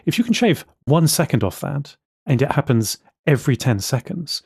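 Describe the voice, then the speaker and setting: A man's voice: mid-range voice, expressive, normal speed, darkish tone, slightly rough, no echo. A man co-hosting a casual podcast, opinionated and wry, with dry sarcasm in how he talks. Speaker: podcast co-host, programmer.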